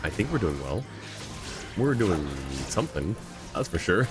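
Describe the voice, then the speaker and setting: A man's voice: deep voice, big pitch swings, unhurried. A man livestreaming a game, giving casual commentary and thinking aloud in a hesitant way. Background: game music.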